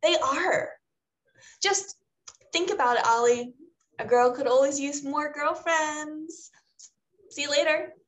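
Woman acting as friendly social girl, high voice, comedy read on video call. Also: takes it slowly, long pauses. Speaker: actor in a table read.

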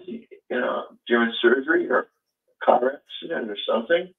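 A man's voice: mid-range voice, creaky. A man hosting a call-in show, talking in a rambling, questioning way with many fillers. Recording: phone-like line.

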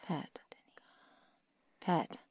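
A woman playing a protective, coolly menacing vampire seductress in a hushed, deliberate, coaxing ASMR roleplay whisper. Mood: concerned.

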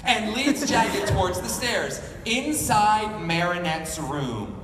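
A woman reading scripted narration at a live table read, animated and expressive. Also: mid-range voice, slow. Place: large convention panel room. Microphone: panel mics heard from a distant audience camera. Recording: echoey hall, distant, noisy audience recording.

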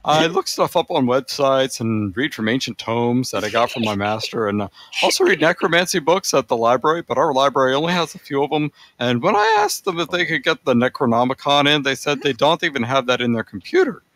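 A man's voice: mid-range voice, very animated, fairly quick, a bit bright, dry recording. A man reading amateur writing aloud for comedy, with an earnest, casual delivery. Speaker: podcast reader.

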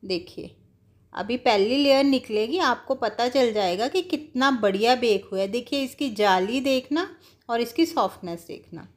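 A woman's voice: a little high, animated, unhurried. A woman giving casual, step-by-step cooking instructions, explaining things directly to viewers. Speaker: home cook giving a recipe tutorial.

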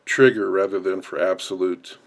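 A man's voice: low voice, steady tone, moderate pace. A man instructing in an unscripted, step-by-step way as he explains hands-on work. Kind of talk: hands-on tutorial narration.

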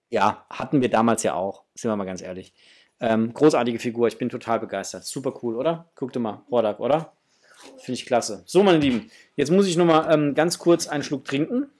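A middle-aged man with a husky voice, chatting casually and enthusiastically to viewers as he hosts an unboxing, sometimes muttering to himself. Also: medium pitch, darkish tone. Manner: enthusiastic, exclamatory, muttering to himself while handling things. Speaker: host of a toy unboxing channel. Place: small indoor hobby room.

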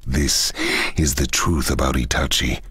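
Grave, menacing anime man: very raspy, dry close sound, solemn and deliberate. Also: very deep voice, steady tone, a bit bright, dry recording, full character voice. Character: grave, menacing man revealing a dead brother's secret. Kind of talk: dramatic anime dub monologue.

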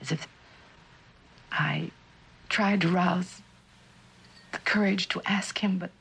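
An actress playing a middle-aged, genteel, grieving widow in a mid-century suspense drama, speaking haltingly and gravely in a husky, breathy voice. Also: female voice, on the low side, fairly even intonation, fairly bright tone, heavily acted. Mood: haunted, halting. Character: haunted, grieving widowed mother, genteel and fearful.

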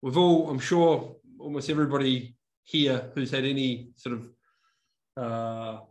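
A young man with a New Zealand accent that has picked up Australian tones presents over a webcam from an indoor room. He talks in a casual, chatty way, often restarting his words and laughing at himself. His voice sits at an ordinary, middle pitch. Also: steady tone, a little slow. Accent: New Zealand, softened by years in Australia. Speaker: conference speaker. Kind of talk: online conference presentation. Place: room with a whiteboard and brick wall, indoors. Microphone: video call webcam.

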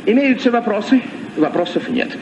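An actor playing a boastful, pompous comic hunter with self-important delivery in a vintage radio play. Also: male voice, sits high, expressive, normal speed, gravelly. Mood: pompous, self-satisfied. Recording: muffled, noisy old radio, distant mic.

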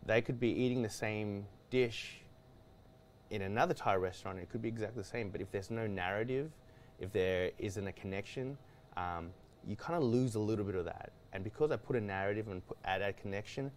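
Guy, low voice, rambly with lots of ums, faint hum behind him. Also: a little fast. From Australia. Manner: rambling, many restarts and fillers. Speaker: guest chef. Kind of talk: casual studio interview. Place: studio kitchen set.

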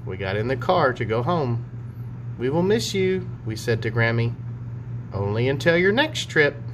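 A man's voice: medium pitch, very animated, normal speed. Man reading to kids, noisy recording. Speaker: teacher reading to pupils. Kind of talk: read-aloud storybook and chat for children. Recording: clear background hum and noise.

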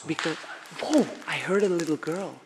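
A young man exploring an abandoned building at night, excitable and swearing, often dropping to a whisper. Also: fairly high pitch, moderate pace, a crowd in the background. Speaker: paranormal explorer. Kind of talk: casual night exploration chat. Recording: noisy handheld camera audio.

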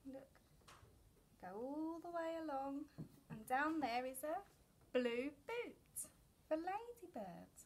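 Young woman, children's storyteller, high pitch, unhurried slow pace, sing-song read-aloud delivery. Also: big pitch swings. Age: young adult. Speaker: children's storyteller at a family centre. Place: indoors, decorated story corner.

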